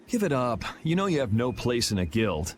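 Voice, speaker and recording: Man as a scornful guild member, animated pitch. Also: normal speed, slight background noise, close to an everyday voice. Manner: curt, dismissive. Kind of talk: English video game voice acting.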